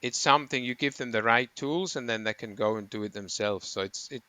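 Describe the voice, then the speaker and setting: A middle-aged man from Switzerland talks through his work as a running coach in a relaxed interview. His voice is bright and sharply clear, recorded dry and close. He wanders and corrects himself mid-sentence as he explains. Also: medium pitch, a little fast, no room sound. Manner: rambling, self-correcting explanations with frequent ums. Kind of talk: conversational interview.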